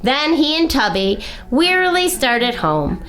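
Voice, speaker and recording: A woman reading a children's story aloud as a library storyteller, with expressive, dramatic emphasis. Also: high-pitched, faint music behind, low-quality recording. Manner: animated, unhurried, dramatic emphasis. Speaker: library story-time reader.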